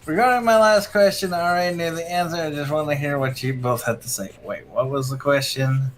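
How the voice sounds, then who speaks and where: A person in casual livestream banter, teasing and quick to interject. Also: mid-range voice, a little hiss or hum. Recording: music behind the voice. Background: music.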